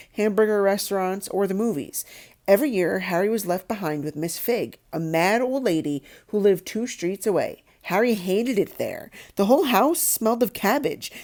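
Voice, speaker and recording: A woman's voice: on the low side, darkish tone. A woman reading a children's novel aloud as storytelling narration. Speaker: audiobook reader.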